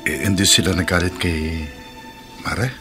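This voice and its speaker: A man's voice: sits low, steady tone, a little slow. An actor playing a sympathetic, concerned male friend in a Filipino radio drama, familiar in manner, with a Filipino accent. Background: background music.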